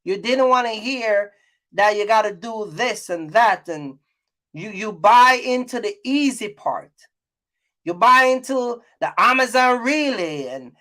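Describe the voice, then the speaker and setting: A man's voice: very high-pitched, pushed and bright. A man hosting a casual, opinionated vlog, speaking in an emphatic, colloquial way and repeating his points. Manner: repetitive, emphatic, colloquial, chuckles at own points. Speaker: YouTube host, trucking business creator.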